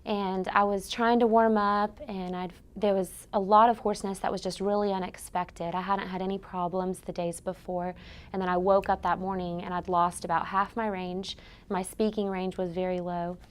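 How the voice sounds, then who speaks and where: Young woman with a smooth, clear voice. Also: mid-range voice, little pitch movement, normal speed. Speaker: vocal music student. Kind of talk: personal testimonial.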